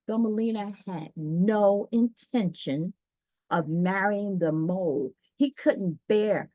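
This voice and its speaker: A woman reading a children's story aloud, pausing dramatically and chatting directly to her listeners. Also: medium pitch, no echo. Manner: dramatic pauses, gasps, talks directly to listeners. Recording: dull, dry lo-fi sound.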